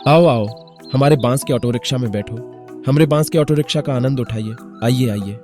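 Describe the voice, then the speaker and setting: A man's voice: mid-range voice, brisk, music in the background, natural voice. A man voicing a poor, kind village rickshaw driver in a cartoon, earnest and exclamatory. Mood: eager. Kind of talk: Hindi cartoon voice acting.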